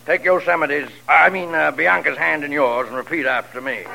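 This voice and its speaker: A man's voice: on the high side, steady tone, normal speed, vocal fry. A man playing a crotchety, flustered older judge in 1940s radio comedy style, blustering and exasperated. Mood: flustered, disapproving. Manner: blustering, exasperated, with muttered hesitations. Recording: noisy vintage radio broadcast recording.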